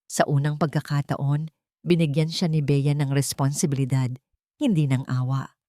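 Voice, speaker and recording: A woman's voice: low-pitched, level tone, moderate pace, mellow, no room sound, clean studio sound. A woman narrating a scripted dramatic story.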